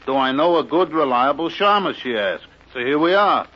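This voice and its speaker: An actor playing a blunt, slangy New York cab driver with an American accent in a fifties radio drama. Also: male voice, a little high, expressive, moderate pace. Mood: pushy, sly. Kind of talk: 1950s radio drama acting. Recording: dull vintage radio recording.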